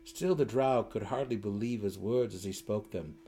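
A man's voice: lowish voice, moderate pace, warm tone. A man reading fantasy fiction aloud as a narrator, in a dramatic storytelling style. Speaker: audiobook narrator.

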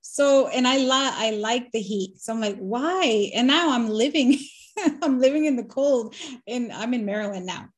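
A woman from Nicaragua hosts a casual podcast interview, her voice sitting a little higher than most. She talks in a friendly, upbeat and chatty way, keeping the conversation moving with easy questions. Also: lively intonation, moderate pace. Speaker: podcast host.

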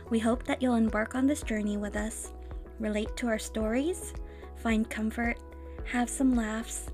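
A woman in her thirties, born in the Philippines and raised in Los Angeles, reading a scripted podcast introduction as co-host in an earnest, measured way. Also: on the high side, darkish tone. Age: 30s.

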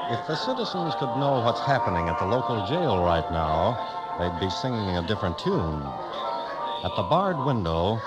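An American man announcing and narrating a western adventure in dramatic, scripted 1950s radio style. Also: fairly low pitch. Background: prominent music.

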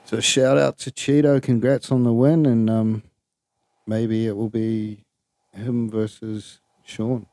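A man's voice: medium pitch, flat delivery, slow pace, mellow, dry recording. A man hosting his own podcast alone, rambling loosely between clips, swearing and trailing off. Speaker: podcast host. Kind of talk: casual solo podcast chat.

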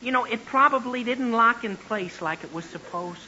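An actor with an American accent playing an earnest, eager teenage son in boyish 1940s radio comedy style. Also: male voice, very high voice, moderate pace, bright, forceful tone, heavily acted. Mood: mildly put out. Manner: boyish, eager, mildly protesting. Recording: muffled, hissy 1940s radio broadcast.

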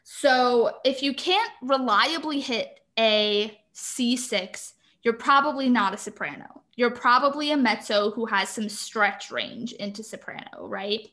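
A woman's voice: a little high, a little slow, hardly any pauses, sharp tone. A woman in casual chat who explains singing terms in detail and laughs often.

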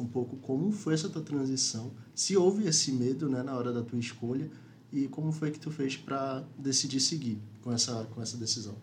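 Male host for a corporate live chat, mid-pitched, relaxed conversational delivery, faint background hiss. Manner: conversational, frequent "né" tags. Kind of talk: company livestream chat.

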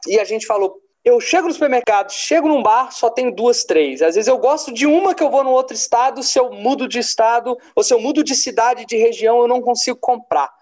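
A man with a Minas Gerais accent, an interview guest who explains his business in an easygoing way and often tags his sentences with a question. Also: high voice. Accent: Minas Gerais Brazilian. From Brazil, born in Brasília. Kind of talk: relaxed interview answers.

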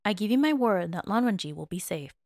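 A woman voicing a courteous, composed elderly male palace official in an audio story, formal and unhurried. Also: mid-range voice, animated, darkish tone, no room sound, studio quality, close to an everyday voice. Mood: calm, insistent. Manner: formal, slow, polite.

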